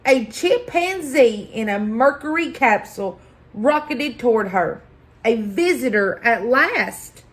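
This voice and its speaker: A woman reading a children's picture book aloud as a story-time host, deliberate and expressive, pausing for effect. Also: on the high side. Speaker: library story-time reader.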